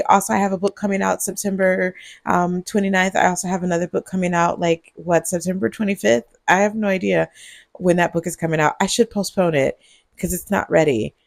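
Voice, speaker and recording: A woman vlogger, groggy on cold medicine, talking to her viewers in a husky voice and rambling with giggles. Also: mid-range voice, steady tone, a little fast, dry recording. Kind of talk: casual vlog monologue. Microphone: earbuds mic.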